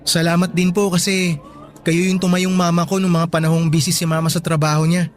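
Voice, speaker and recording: High-pitched, earnest teenage boy, acted by a man. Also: flat delivery, fairly quick, natural voice. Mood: grateful, moved. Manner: polite, earnest, emotional. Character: grateful, affectionate teenage boy, graduating student. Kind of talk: Tagalog radio drama acting.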